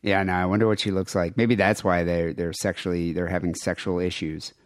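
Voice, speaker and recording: A man's voice: low voice, little pitch movement, fairly quick, dry recording, studio quality. A man co-hosting a podcast, rambling casually with crude, irreverent jokes and show plugs. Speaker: podcast co-host.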